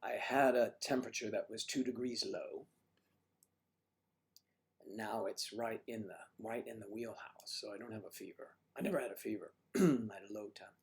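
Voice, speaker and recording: Hoarse older man joking with viewers. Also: fairly low pitch, normal speed. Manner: teasing and flirty, often drops to a soft mutter. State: hoarse, losing his voice. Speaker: livestream host. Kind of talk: casual livestream chat with viewers.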